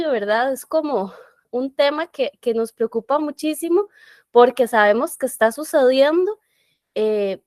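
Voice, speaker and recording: A woman from Costa Rica with a Costa Rican accent, speaking up from the audience in a measured way. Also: on the high side, no room sound, studio quality. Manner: measured, tags lines with "¿verdad?". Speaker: audience member asking a question. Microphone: video call.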